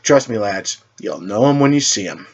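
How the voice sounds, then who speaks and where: Guy doing a calm team leader hero, measured n cryptic, superhero story, muffled lo-fi. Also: lowish voice, husky.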